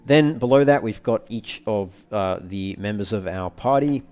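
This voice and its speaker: A man giving casual let's play commentary as a walkthrough host, explaining things in a measured, wordy, slightly formal way. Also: fairly low pitch, a little mellow, clean tone.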